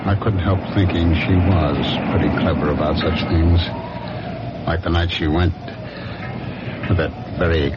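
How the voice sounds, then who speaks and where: An actor playing a jealous, anguished husband who narrates his own dark story in a 1970s radio mystery. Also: low-pitched, steady tone, measured pace, long pauses, very noisy. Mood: brooding. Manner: grave, dramatic storytelling. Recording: dull old radio broadcast with loud music.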